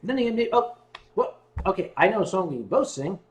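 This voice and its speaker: A man hosting a casual livestream, joking and swearing freely, with bursts of rapid talk. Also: high-pitched, big pitch swings, dull audio, a little hiss or hum. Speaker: livestream host. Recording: roomy room with loud guitar music. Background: guitar music.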